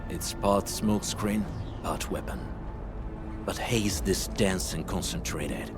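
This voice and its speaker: Low-voiced grave warrior. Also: male voice. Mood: grave, measured. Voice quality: low-pitched voice. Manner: measured, serious, expository. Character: grave adult male warrior scout, stoic and knowledgeable. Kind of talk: video game character acting. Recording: voice over game music.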